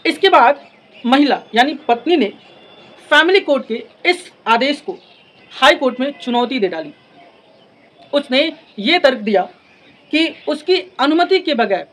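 A young man giving an explanatory law lecture, speaking deliberately phrase by phrase and repeating for emphasis. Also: very high-pitched, a bit bright, low-quality recording. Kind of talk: explanatory lecture with English legal terms mixed in. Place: in front of a classroom blackboard. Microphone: lapel mic.

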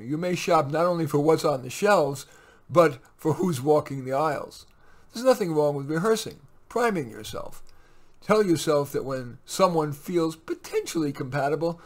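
A man in his sixties giving a scripted advice talk to camera in a measured, lightly wry way, recorded on a webcam in a small home office. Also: a little high. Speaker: host.

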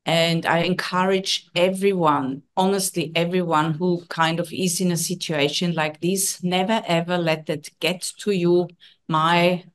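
Non-native English woman, forties, earnest trainer on a video call, lowish pitch. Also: fairly even intonation, measured pace. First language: not English. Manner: earnest, coaching tone, frequent "yeah?" tags. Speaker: leadership and communication trainer. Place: home office.

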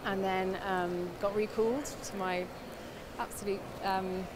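A woman's voice: mid-range voice, fairly even intonation, a little slow. A woman in her twenties interviewed at a busy indoor event, bubbly with many fillers. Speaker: actress interviewed. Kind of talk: casual interview. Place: large indoor hall, event. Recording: loud hall noise.

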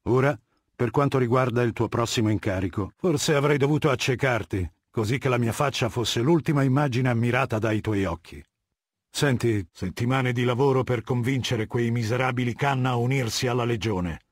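An actor voicing a cold, haughty middle-aged warlord dictator in a video game, lecturing in a measured, commanding and disdainful way. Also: male voice, mid-range voice, slightly rough, dry recording, studio quality, natural voice. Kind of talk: video game character dialogue.

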